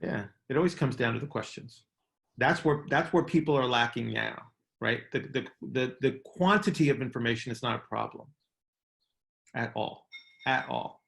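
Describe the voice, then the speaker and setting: A man's voice: medium pitch, normal speed, creaky, fairly bright tone. A middle-aged man hosting a casual coaching call, explaining emphatically, repeating key words and checking in with "right?". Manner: explanatory, emphatic word repetition, frequent "right?" check-ins.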